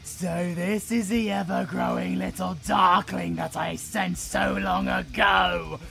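A British man playing a sadistic, playful supervillain monster in an audio roleplay, with a theatrical, taunting, drawn-out delivery. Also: high-pitched, steady tone, gravelly, sharp tone, shouting, faint music behind, full character voice. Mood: mocking menace.

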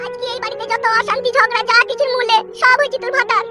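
A man's voice: very high voice, level tone, fast, music in the background. A man voicing a bitter, dramatic old mother-in-law in a comedy cartoon, wailing and cursing in a rural West Bengal accent. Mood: wailing, scolding.

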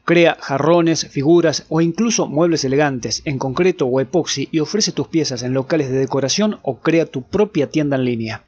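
A man reading a scripted explainer as a video host, in a presentational, clearly enunciated way. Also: a little high, darkish tone, lo-fi sound.

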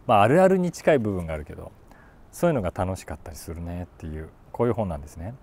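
A man in his forties talking casually about a book, thinking aloud and reading short lines with animated emphasis. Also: sits low, racing. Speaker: YouTube host, voice actor. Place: outdoors on a city rooftop.

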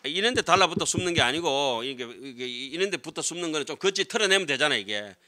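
A middle-aged man lectures as a Buddhist monk. His voice is fairly high and strikingly bright and forceful. He explains conversationally, often putting short tag questions to his listeners. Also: expressive, quick pace. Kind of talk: classroom lecture on Buddhist scripture. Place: lecture room with seated audience, indoors. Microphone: desk gooseneck microphones.

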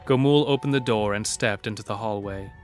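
A man's voice: fairly low pitch, brisk, faint music behind. A man narrating a scripted horror story as the narrator of an audio drama.

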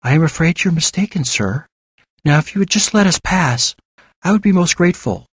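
A man's voice: a little high, expressive, a little fast, slightly rough, no echo, clean studio sound, pitched above the performer's own voice. A man voicing a cautious male doctor who speaks formally and politely in an audiobook story. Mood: politely pleading.

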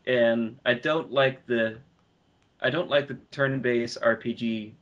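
Slightly dull lo-fi recording. Male podcast panelist, mid-pitched, unhurried, hesitant, casual discussion with repeated restarts. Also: fairly even intonation, fairly bright tone.

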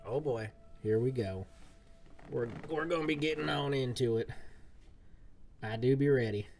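A man's voice: medium pitch, measured pace, darkish tone, soft background music. A man, chatting casually and folksily with his chat while streaming a game. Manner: folksy cowboy-style talk. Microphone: desk mic, game audio from a speaker.

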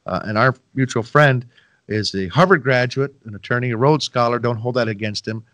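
A man's voice: medium pitch, a little fast, a bit bright, dry recording. A middle-aged man hosting a podcast over a video call, chatting loosely with a guest and joking with his listeners. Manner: rambling, self-deprecating, folksy asides to listeners. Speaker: podcast host. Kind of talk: casual interview chat. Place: home room, video call. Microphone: headset with boom mic.

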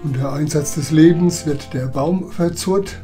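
A man's voice: fairly high pitch, a little slow, mellow. A man narrating old home movies in a chatty, reminiscing voice-over. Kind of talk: casual voice-over commentary. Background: background music.

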